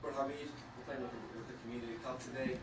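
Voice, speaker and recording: A man from Iraq talks fast and earnestly, stumbling over his words and restarting often. His voice comes from a distance, with a roomy echo. Loud noise fills the background. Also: mid-range voice, little pitch movement. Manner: earnest, quick, with repeated restarts. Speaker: police recruit. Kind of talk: interview clip. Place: outdoor community soccer event.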